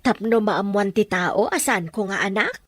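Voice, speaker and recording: A woman playing a gentle, anguished mother in an audio drama, speaking with emotional, pleading delivery. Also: mid-range voice, expressive, normal speed, slightly rough, no echo. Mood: defensive, distressed. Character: gentle, anguished Filipino mother guarding an adoption secret.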